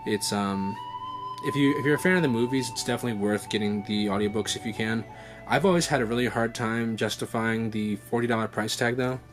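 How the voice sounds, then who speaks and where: A man's voice: lowish voice, fairly quick. Young man chatting casually over music. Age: young adult. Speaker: vlog host. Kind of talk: casual unscripted unboxing chat. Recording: music playing behind the voice. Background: background music.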